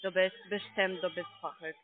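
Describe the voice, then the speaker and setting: A woman's voice: lowish voice, fairly even intonation, normal speed, no room sound. A woman with a foreign accent, a non-native speaker, working as a call center agent and arguing insistently, repeating herself over a phone line. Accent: foreign accent in German. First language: not German. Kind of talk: unscripted phone argument. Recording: tinny, narrow phone line.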